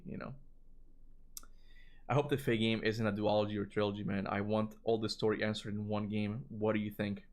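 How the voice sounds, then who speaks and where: A man with a Cuban accent chatting casually on a livestream, rambling and animated, answering chat and swearing now and then. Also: lowish voice, fairly quick. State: tired voice from long talking. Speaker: gaming streamer answering chat.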